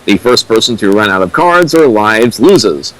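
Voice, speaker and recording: A man's voice: fairly low pitch, very animated, normal speed, fairly bright tone, low-quality recording. A man co-hosting a streamed reading, mixing read-out passages with mocking commentary. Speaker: co-host of a fan fiction reading stream.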